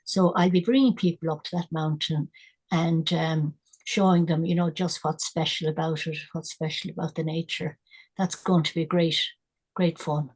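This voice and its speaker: An older Irish woman, a guest environmentalist, talking in a casual, thoughtful way with many pauses and restarts. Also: low-pitched, flat delivery, darkish tone, slightly rough. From Ireland. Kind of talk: casual conversational interview.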